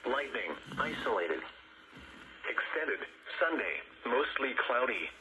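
A man's voice: mid-range voice, big pitch swings, takes it slowly, noisy background. An automated male announcer reading a weather forecast in clipped segments, heard through a small radio speaker.

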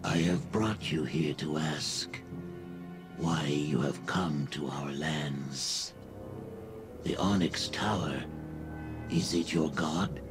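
An actor playing a grave, primal lizard-man of the marsh in a video game, speaking solemnly in halting broken English with breathy pauses. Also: extremely low pitch. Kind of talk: video game character dialogue. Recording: game dialogue over music. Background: music.

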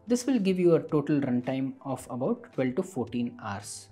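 A man's voice: medium pitch, big pitch swings, normal speed, dark tone, husky, soft background music. A man narrating a scripted product review as a tech reviewer.

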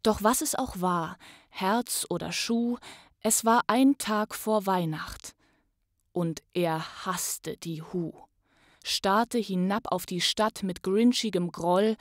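Casting: female storyteller, dramatic and unhurried, bright tone, dry close sound. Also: medium pitch, no room sound. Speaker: actress and voice artist, guest reader. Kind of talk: expressive storybook narration.

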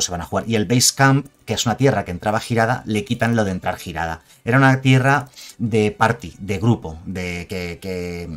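Chatty Spanish-speaking man on a headset. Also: on the low side. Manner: chatty, reads card names slowly, asks for agreement with ¿vale?. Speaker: gaming channel host. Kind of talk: casual news commentary to camera. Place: small room at home.

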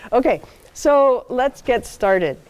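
A soft-spoken older woman gives a guest lecture from a podium in a lecture hall. She explains things patiently, checking in with the audience with frequent okays and ums. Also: a little high, lively intonation, slow pace. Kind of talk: university seminar talk.

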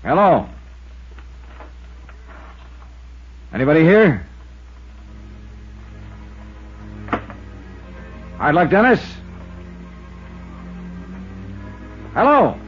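An actor playing a tough, shrewd insurance investigator in a dry, hardboiled manner in a 1950s radio drama. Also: male voice, fairly high pitch, wildly swooping pitch, breathy edge, soft background music, heavily acted. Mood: calling out. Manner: dry, hardboiled, deliberate phrasing. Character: tough, shrewd male insurance investigator narrating his case. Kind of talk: 1950s radio detective drama narration and dialogue. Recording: muffled 1950s radio recording.

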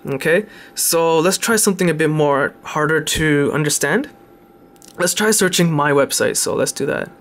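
A man's voice: fairly high pitch, animated, normal speed, a bit bright. A man giving a casual, unscripted tech demonstration in an informal, hesitant way, often correcting himself. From Canada. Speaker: tech video presenter.

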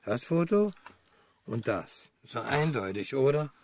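A man's voice: medium pitch, lively intonation, a little slow, creaky, darkish tone, no room sound. A man playing an eager, sardonic police detective in a naturalistic radio crime drama, matter-of-fact and wry. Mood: brisk, factual. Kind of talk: naturalistic German radio play acting.

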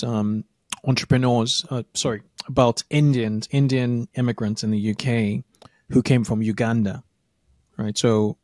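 A man's voice: medium pitch, darkish tone, dry recording. Chatty man hosting a podcast, dry mic. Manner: chatty, repeats words while thinking. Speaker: podcast host. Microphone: studio mic with headphones.

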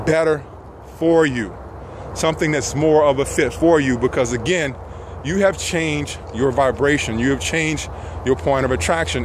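A middle-aged man giving an earnest motivational talk in measured phrases with frequent pauses, walking outdoors with a phone at arm's length. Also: on the high side. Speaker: self-help vlogger. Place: outdoors on a path among trees. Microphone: phone held at arm's length. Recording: noisy outdoor phone recording, traffic ambience.

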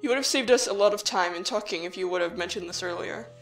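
A woman's voice: lowish voice, quick pace, gravelly, fairly bright tone, soft background music. A woman playing an earnest, take-charge human child in a fan audio drama, direct and practical. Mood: mildly exasperated.

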